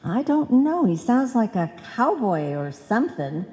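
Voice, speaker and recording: A woman's voice: a little high, a little slow. A woman playing a scatterbrained, earnest wife who muddles her words in a live old-time radio comedy. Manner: muddled, malapropism-prone, animated. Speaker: radio play actor. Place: live stage in a hall. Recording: reverberant hall stage recording.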